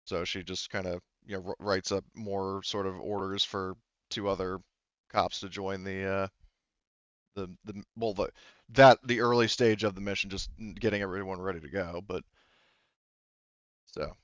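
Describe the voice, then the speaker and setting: A man with a clear, fairly low voice acts as game master. He narrates casually and haltingly, rambling through explanations. The recording is close and dry but a little muffled. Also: steady tone, fairly bright tone, no echo.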